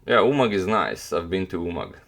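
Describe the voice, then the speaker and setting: A man's voice: low voice, big pitch swings, fairly quick, creaky, a bit bright. A young man with a Croatian accent, chatting loosely with viewers on a live stream, hesitant with many fillers. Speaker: chess streamer answering chat. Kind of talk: casual live-stream chat.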